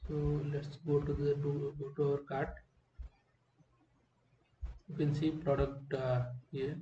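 A man giving an unscripted screen-recording walkthrough as a software demonstrator, speaking in a halting, hesitant way. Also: a little high, level tone. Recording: muffled, roomy, faint background noise.

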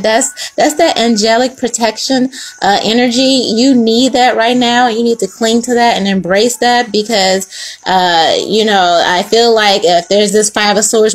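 A woman giving a casual tarot reading to the camera, chatty and slangy, swearing freely and cutting in with quick laughing asides, recorded indoors at a table. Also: mid-range voice. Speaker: tarot reader.

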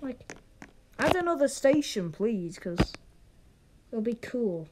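Casual game commentary, rambling and chatty, very lively intonation, opinionated, noticeable background noise and clicks. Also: medium pitch, takes it slowly. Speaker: video host. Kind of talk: casual gaming vlog commentary. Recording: clearly noisy home recording with clicks.

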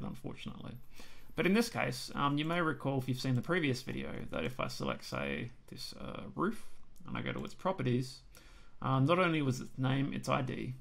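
An Australian man talks through a screen-recorded software tutorial in a relaxed, chatty way, filling pauses with ums. His voice is gravelly with lots of fry and has gone hoarse. He sits at a desk microphone. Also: mid-range voice. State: losing his voice.

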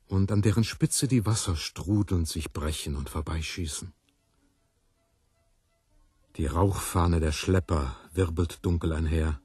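Male reader, literary narration, dark mellow tone, slow deliberate phrasing. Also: low-pitched, slightly rough, dry recording, clean studio sound. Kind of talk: literary scripted reading.

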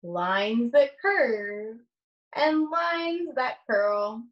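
A woman's voice: a little high, expressive, drawn-out words, dull audio. A young adult woman, an art teacher, leading a read-aloud lesson for children with simple questions and prompts.

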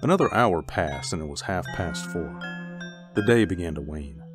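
A man's voice: deep voice, animated, normal speed, over music. A man giving scripted narration for a suspense radio drama.

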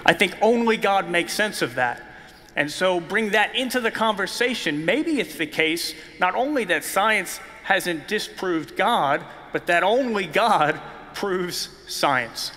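High, bright young man in an echoing arena. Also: slow pace, a little hiss or hum. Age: young adult. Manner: energetic, gesturing, rhetorical questions. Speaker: speaker. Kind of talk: apologetics talk to students. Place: large arena stage. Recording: long hall reverb.

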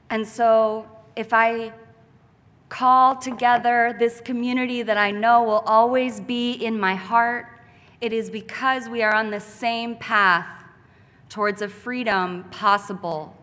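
A woman in her thirties speaks at a podium in a large church sanctuary, delivering a commencement speech in a slow, deliberate and heartfelt way. Her voice is bright and forward. The podium mic carries a long, lingering hall reverb. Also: mid-range voice. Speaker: graduating student speaker. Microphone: podium mic, far camera.

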